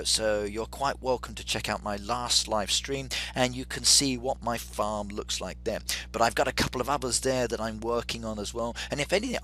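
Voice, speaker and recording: A man's voice: fairly low pitch, sharp tone, a little hiss or hum. A middle-aged man with an English accent chatting through a live game stream and reading out viewer comments. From England.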